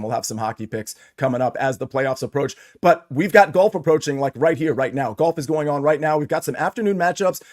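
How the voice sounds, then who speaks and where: A man's voice: fairly high pitch, darkish tone. A man hosting a sports betting show, upbeat and chatty, with a slightly scratchy voice. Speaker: host.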